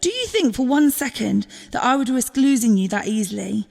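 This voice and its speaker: A woman's voice: on the high side, lively intonation, a little fast, gravelly, darkish tone, in a large hall. A woman acting a loving, exasperated girlfriend in an audio drama, emotional, pleading and informal, with some swearing. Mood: hurt, pleading. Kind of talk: naturalistic audio drama dialogue.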